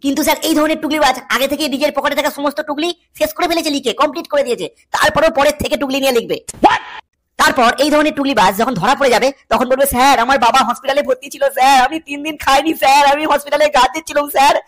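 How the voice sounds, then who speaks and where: A woman's voice: high-pitched, fast talker, close to an everyday voice. A woman voicing a cheeky, dramatic cartoon schoolboy, giving a theatrical comic lecture. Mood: mocking, then pleading.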